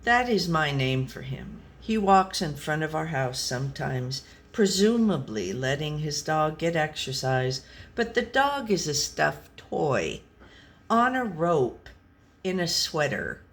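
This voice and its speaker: Slow, deadpan storybook pet read by a woman. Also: sits low, full character voice. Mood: wary, puzzled. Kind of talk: scripted storybook narration.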